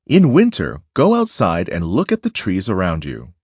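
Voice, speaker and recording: A man narrating a children's nonfiction book aloud in a deliberate, instructive way. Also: sits low, huge pitch swings, darkish tone, breathy edge, no room sound.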